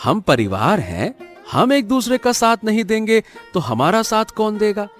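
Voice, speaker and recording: A man acts a poor village farmer in a cartoon drama, high-voiced and swinging widely in pitch. He is trusting yet stubborn and argues in homely colloquial speech, over faint music. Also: measured pace. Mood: reassuring. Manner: animated, colloquial remonstrating.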